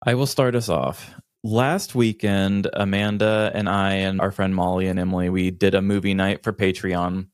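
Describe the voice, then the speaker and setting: A man's voice: sits low, little pitch movement, normal speed, clear, resonant tone, no echo, studio quality. An American man co-hosting a podcast, telling casual comedic stories with a dramatic, self-deprecating, complaining manner. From United States. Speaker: podcast co-host.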